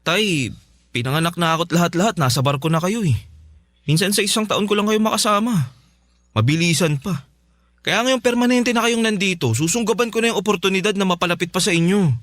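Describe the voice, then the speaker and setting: A man's voice: high-pitched, lively intonation, a little fast, fairly bright tone, dry recording. A man playing a devoted, insistent youngest son talking earnestly to his father in a Filipino radio drama. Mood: earnest, pleading. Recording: music playing behind.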